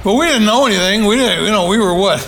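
Rambling older man, high voice. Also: quick pace, a bit bright, slight background noise. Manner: rambling, folksy, self-deprecating with many fillers. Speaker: speaker at a support group meeting. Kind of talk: personal share at a recovery meeting. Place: meeting room. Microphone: handheld microphone.

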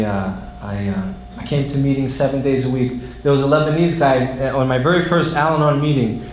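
A man's voice: mid-range voice, echoey room, slight background noise, low-quality recording. A man in his late thirties from New York City, telling his recovery story at a twelve-step meeting, rambling with lots of fillers and restarts and laughing at himself. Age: 30s. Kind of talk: personal recovery share.

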